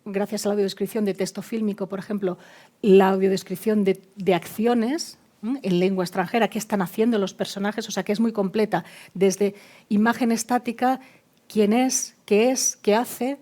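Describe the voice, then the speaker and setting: A woman's voice: medium pitch, fairly even intonation, normal speed, warm tone. A woman speaking on an expert panel, thinking aloud with frequent fillers, restarts and repeated words. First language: not Spanish. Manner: hesitant, many fillers and restarts. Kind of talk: panel discussion.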